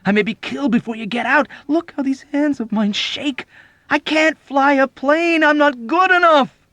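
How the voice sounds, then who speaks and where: A man voicing a belligerent, desperate young airman in an audiobook novel, with a thick, hoarse voice and an agitated, pleading, shouting manner. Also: lowish voice, moderate pace, no room sound.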